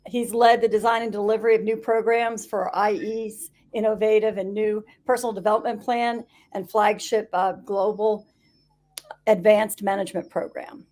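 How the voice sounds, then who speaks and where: A woman's voice: mid-range voice, steady tone, moderate pace, a little mellow. A woman hosting a live business interview, introducing her guest in a friendly, slightly hesitant way. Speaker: interview host. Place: video call.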